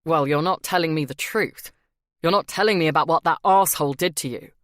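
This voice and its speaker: A woman reading the part of an intense, protective man with a low, forceful delivery. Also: fairly quick, no echo, clean studio sound, close to an everyday voice. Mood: angry.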